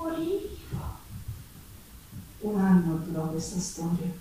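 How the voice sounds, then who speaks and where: A woman's voice: medium pitch, warm tone, slight background noise, natural voice. An actress playing a poor, plain-spoken Sicilian working woman who recounts her hard life in an earnest theatrical monologue with dialect words. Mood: impassioned, pained. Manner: earnest, colloquial storytelling with dialect words and pauses. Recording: echoey stage recording from far off.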